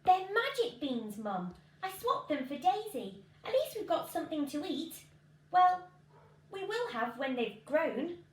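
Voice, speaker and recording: A woman's voice: extremely high pitch, big pitch swings, unhurried, distant mic, voice pushed up, full character voice. A woman voicing an eager young boy in a storybook, with a pleading, adventurous manner. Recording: roomy home recording with noise.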